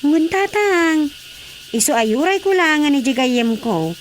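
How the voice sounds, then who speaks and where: A grown daughter in a radio comedy, talkative and mildly exasperated. Her voice is high, with an Ilocano accent, over faint background noise. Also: animated, slow. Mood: explaining. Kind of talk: radio comedy drama acting.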